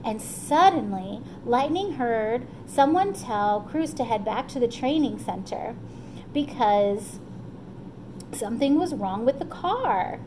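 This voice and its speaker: A woman telling a story to children in an animated, encouraging way, often checking in with her listeners. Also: fairly high pitch, takes it slowly, background noise. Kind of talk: animated children's storytime retelling, loosely paraphrased.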